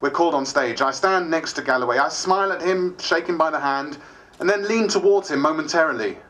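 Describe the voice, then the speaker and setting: A man's voice: on the high side, a little fast, fairly bright tone, close to an everyday voice, rough recording. A man playing a wry politician who narrates a diary in a theatrical stage style. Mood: tense recounting.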